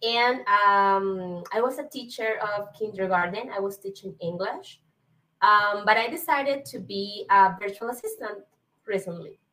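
A woman's voice: mid-range voice, measured pace, bright, forceful tone, poor audio. A woman from Venezuela with a Venezuelan Spanish accent, a native Spanish speaker, answering politely and hesitantly as a podcast guest. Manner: polite, hesitant with many fillers. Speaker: guest, virtual assistant. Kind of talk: podcast interview.

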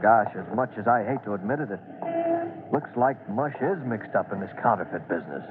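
A man's voice: on the low side, animated, a little fast, crowd noise, natural voice. An actor playing an earnest, loyal young fireman who speaks hesitantly and deferentially in an old radio drama. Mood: troubled. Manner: hesitant, deferential, folksy exclamations. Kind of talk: 1940s radio drama acting. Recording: tinny, band-limited old radio recording.

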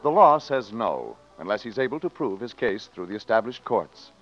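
Old-time radio announcer: American, dramatic and sweeping delivery of scripted western narration, dull vintage sound. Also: male voice, on the low side, big pitch swings, normal speed, soft background music. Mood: solemn, dramatic. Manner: dramatic, declamatory.

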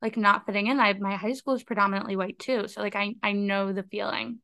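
Young woman, podcast host, smooth clear voice, dry close sound, chatty and candid. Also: mid-range voice, steady tone, bright, forceful tone, dry recording. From United States. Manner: chatty, candid, quick asides. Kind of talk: casual podcast conversation.

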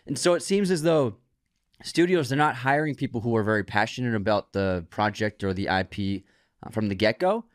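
A man's voice: lowish voice, fairly quick, no room sound, studio quality. A man co-hosting a podcast in a casual, conversational discussion style. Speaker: podcast co-host.

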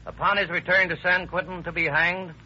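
An American actor playing a stern, probing police captain in 1930s radio style, clipped and matter-of-fact. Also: male voice, high voice, little pitch movement, a little fast, pushed and bright, slightly rough, exaggerated voice. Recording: dull old radio recording.

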